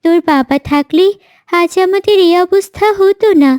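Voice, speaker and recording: A woman's voice: extremely high pitch, normal speed, a little mellow, no echo, clean studio sound. A woman voicing a poor, protective mother bird in a children's cartoon, earnest and motherly with a stern edge. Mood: sorrowful.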